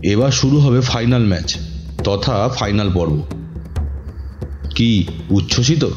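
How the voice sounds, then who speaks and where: A man introducing an audio story as its presenter, speaking directly to the listeners. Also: mid-range voice. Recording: muffled audio under music.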